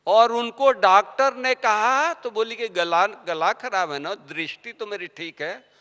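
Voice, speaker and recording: A man giving a devotional sermon, telling stories and asking rhetorical questions, his voice swinging between soft and loud. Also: high voice, unhurried. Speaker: spiritual teacher. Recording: long hall reverb.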